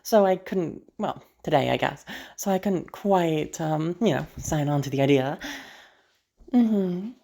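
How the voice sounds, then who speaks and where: A woman playing a deranged, possessive asylum patient in a roleplay audio drama, teasing and halting as she talks, with casual swearing. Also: deep voice, animated, vocal fry, warm tone, full character voice. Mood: smitten, menacing. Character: deranged female asylum patient, possessive, flirty, playfully menacing.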